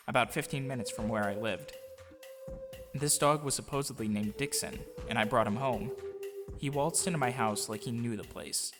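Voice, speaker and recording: Earnest male storyteller with music behind. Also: medium pitch. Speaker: audio drama producer and actor, telling his own story. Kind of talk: scripted autobiographical narration with acted dialogue.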